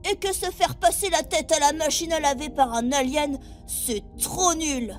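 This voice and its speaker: A woman's voice: high voice, normal speed, close to an everyday voice. A woman playing an innocent, earnest seven-year-old child trainee aboard a spaceship in an audio drama. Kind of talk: French audio drama acting. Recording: music behind.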